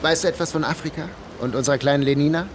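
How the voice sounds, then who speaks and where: An actor playing an obedient, wistful young Spanish communist agent in a radio play, earnest and restrained. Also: male voice, a little high, racing, a little hiss or hum, lightly acted.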